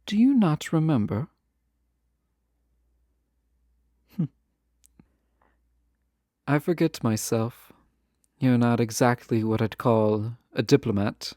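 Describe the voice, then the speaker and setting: A man playing a refined, guarded yet fond fantasy ruler in a romantic audio roleplay, speaking in formal, measured phrases with teasing asides. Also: mid-range voice, expressive, warm tone, no room sound, clean studio sound, natural voice. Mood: reflective, wry.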